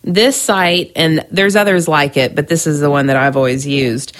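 Low-voiced woman podcaster, slightly scratchy. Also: fairly even intonation, normal speed. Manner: chatty, frequent ums and restarts. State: recovering from a cold, scratchy throat. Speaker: podcast host. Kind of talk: casual solo podcast advice.

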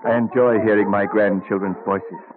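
A man's voice: on the low side, fairly even intonation, moderate pace, crowd noise, natural voice. A man playing a genial, scholarly old statesman and inventor in an old-time radio drama, musing fondly and savouring his words. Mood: fond, proud. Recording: muffled vintage radio recording.

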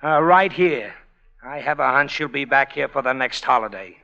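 A man's voice: mid-range voice, moderate pace, gravelly, over loud music. A man playing an eager, lovestruck dancer in a 1940s radio play, on a dull old broadcast recording. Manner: eager, excitable.

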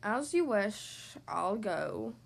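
A woman voicing a meek, bookish male monster in a breathy voice, polite and apologetic. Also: animated, drawn-out words, full character voice. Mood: resigned. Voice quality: low, breathy.

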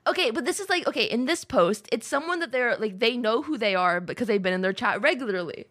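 A woman's voice: fairly high pitch, animated, quick pace, fairly bright tone, dry recording, clean studio sound. A woman co-hosting a casual streamer podcast, bantering in slang and swearing with playful indignation.

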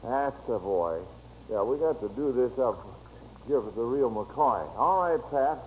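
A man's voice: mid-range voice, lively intonation, a little slow, darkish tone. An American man hosting an old-time children's radio show in a hesitant, folksy, coaxing way. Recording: faintly noisy vintage radio recording.

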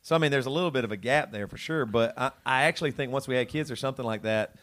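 A man's voice: mid-range voice, racing, fairly bright tone. A man in his late thirties, a band's lead singer co-hosting a live podcast, chatting casually in a rambling, jokey way that often breaks off mid-thought. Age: 30s. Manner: rambling, self-interrupting and jokey.

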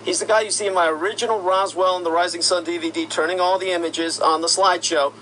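High-voiced man ranting indignantly. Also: steady tone, fast, slight background noise, poor audio. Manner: indignant, accusatory, rising to shouty outbursts. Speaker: commentator. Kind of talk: monologue rant.

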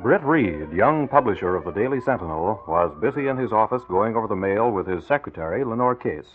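A man's voice: on the low side, lively intonation, fairly quick, faint music behind. A man announcing and narrating in a dramatic, scripted 1940s radio style. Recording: tinny, band-limited old radio recording.

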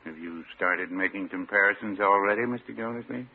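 An actor playing a droll, mild small-town American druggist in a classic radio comedy, talking in a dry, folksy way. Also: male voice, lowish voice, a little fast, vocal fry. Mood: dry teasing. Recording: tinny, noisy old radio recording.

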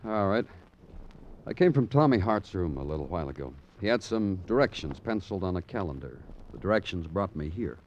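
An actor playing a tough, dry police detective who questions in short, clipped lines, in old-time radio crime drama style. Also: male voice, low voice, sing-song, a little fast, a little mellow, highs cut off. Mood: businesslike. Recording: noisy vintage radio recording.

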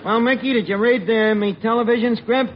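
Pushy, high-voiced New wise guy. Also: fairly even intonation, a little fast, soft background music. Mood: bossy, coaxing. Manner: ungrammatical wise guy, mock-grand. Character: bumbling, pushy amateur playwright and self-styled director. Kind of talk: 1940s radio comedy acting. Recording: dull, very noisy old radio recording, studio crowd.

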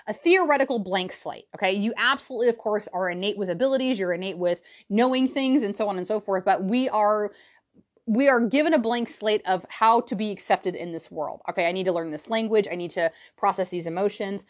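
A woman's voice: mid-range voice, a little fast. A woman in her thirties hosting a solo personal-development podcast, chatty and blunt, often checking in with her listeners. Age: 30s. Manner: chatty, keeps checking in with "right?" and "all right?"; blunt and a little profane. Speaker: podcast host.

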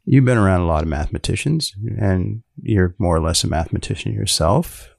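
A man hosting a podcast, asking questions in a dry, measured way. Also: sits low, lively intonation, gravelly, no echo. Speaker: podcast host. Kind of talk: podcast interview.

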